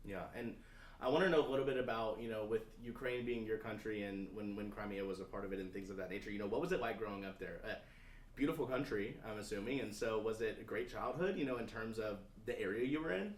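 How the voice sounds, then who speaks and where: A man's voice: fairly low pitch, quick pace. A male TV news interviewer asking casual, rambling questions with many fillers. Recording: roomy sound, distant from mic.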